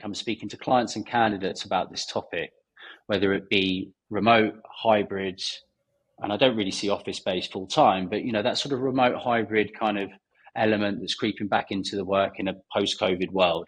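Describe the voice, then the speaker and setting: Husky-voiced male podcast host. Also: fairly low pitch, low-quality recording. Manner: rambling, many fillers and restarts. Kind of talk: podcast interview intro.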